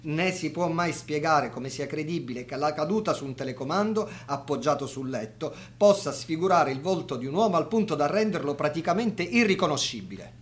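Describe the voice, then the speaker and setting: Actor as a higher-voiced doubting brother in mourning. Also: male voice, animated, brisk, few pauses, lightly acted. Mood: doubting, bitter. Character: grieving, doubting brother of a dead young doctor. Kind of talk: radio drama monologue.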